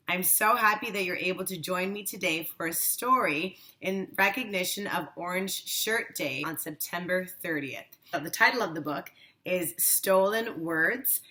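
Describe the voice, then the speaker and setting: A woman reads a children's storybook aloud as a teacher would. Her voice is bright and a little low. She takes it very slowly, phrase by deliberate phrase.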